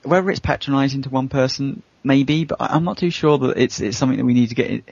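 A man's voice: mid-range voice, fast, vocal fry, darkish tone, no echo. A man talking casually on a discussion panel, hedging as he makes his points. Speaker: show regular. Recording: dull, lo-fi voice recording.

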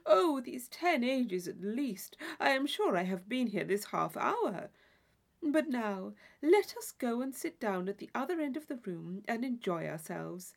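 A woman's voice: fairly high pitch, very animated, unhurried, gravelly, exaggerated voice. A woman voicing a gushing, theatrical young society lady in a classic novel reading, effusive, affected and intense. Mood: gushing, chatty.

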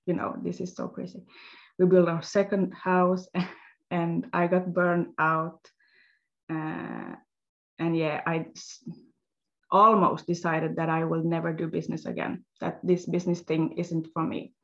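A woman speaking slowly and haltingly, pausing to search for her words. Her lowish voice has a gravelly fry to it. The audio sounds dull and lo-fi. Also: flat delivery. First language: Swedish. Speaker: guest, health coach. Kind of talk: interview storytelling.

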